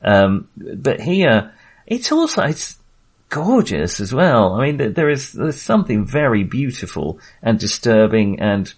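A man's voice: mid-range voice, very animated, a little slow, husky. A man talking casually as a film podcast host, in a hesitant, rambling but enthusiastic way.

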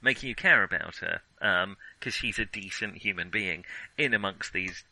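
A man's voice: sits low, normal speed, gravelly, sharp tone, a little hiss or hum, poor audio. A man co-hosting a film podcast, chatting casually with wry, enthusiastic humour. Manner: enthusiastic, chuckling, wryly joking.

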